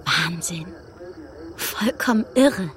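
A woman's voice: lowish voice, lively intonation, slow, fairly bright tone. A woman playing a dreamy, imaginative and earnest teenage girl in a radio play. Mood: awed. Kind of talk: German radio play acting.

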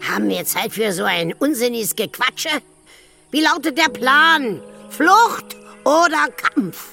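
A woman playing a gruff, hot-headed, scornful male dwarf warrior in a German children's audio drama. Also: fairly high pitch, lively intonation, takes it slowly, pushed and bright, soft background music, heavily acted. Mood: impatient. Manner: scornful, blustering.

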